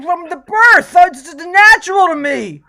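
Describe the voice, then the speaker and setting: A woman's voice: very high-pitched, animated, normal speed, bright, forceful tone, full character voice. A woman playing a clumsy macho young man who blusters through a job interview. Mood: swaggering. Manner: gruff bro swagger, blurts, bluffs. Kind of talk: live improv comedy.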